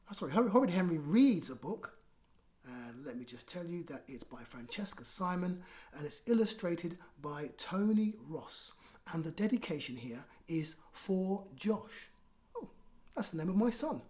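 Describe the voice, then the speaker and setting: A woman hosting a bedtime story for children, reading expressively and chatting in an encouraging way. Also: medium pitch. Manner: animated, encouraging.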